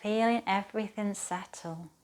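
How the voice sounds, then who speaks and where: A woman speaking as a yoga teacher, guiding a relaxation practice in a soothing, gentle, unhurried manner with pauses. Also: mid-range voice. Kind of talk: guided relaxation, yoga nidra instruction.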